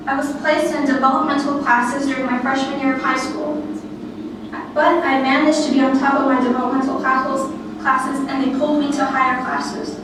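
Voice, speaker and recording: A young woman with a high voice delivers a prepared personal speech in a very echoey room. Her intonation is quite flat, and she reads carefully, sometimes stumbling over words. The recording is distant and noisy. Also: normal speed. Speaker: student giving a presentation.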